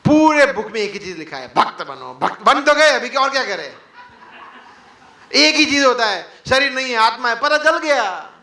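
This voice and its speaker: A man's voice: very high voice, big pitch swings, moderate pace, bright, forceful tone, roomy sound. A man leading an interactive spiritual class before a live audience, firing rhetorical questions and mock quotes, with English words mixed into his talk. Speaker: devotional class speaker.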